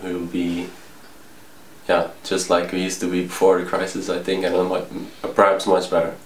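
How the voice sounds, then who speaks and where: A man, answering interview questions with many pauses. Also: sits low, monotone. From Tilburg, Netherlands. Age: 20s. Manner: hesitant, full of pauses. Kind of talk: casual street interview answers. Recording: echoey, noisy street spot.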